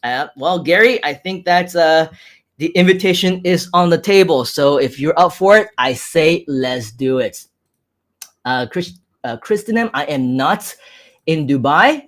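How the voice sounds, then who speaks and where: A man in his thirties with a fairly high voice hosts a casual live stream. He speaks mostly with an American accent that carries a faint Hong Kong tinge. His delivery is upbeat and chatty, with frequent repetitions as he reads out viewer comments. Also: expressive, measured pace, fairly bright tone. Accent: American with Hong Kong hints. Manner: upbeat, chatty, repeats phrases, laughs often.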